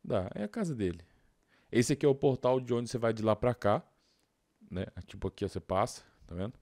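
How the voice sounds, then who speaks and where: A man's voice: mid-range voice, animated, a little fast, darkish tone, dry recording. A Brazilian man doing casual gameplay commentary, informal and slangy, thinking aloud into a close mic. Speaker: gaming YouTuber.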